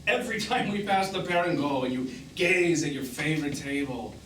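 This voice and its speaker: A sarcastic, wounded man in middle age , played by a male actor, delivers cutting stage-drama lines in a lively, rather high voice. He is emphatic and theatrical. The sound is roomy and noisy, picked up from out in a theatre. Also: normal speed, recorded from a distance, lightly acted. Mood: accusing. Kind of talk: live one-act stage drama acting. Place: small theatre stage, recorded from afar.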